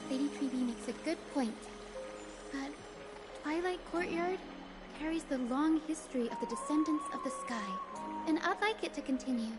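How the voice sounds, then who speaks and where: An actress playing a polite, earnest young woman who speaks softly. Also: sits high, moderate pace, music in the background, close to an everyday voice. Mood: polite, warm.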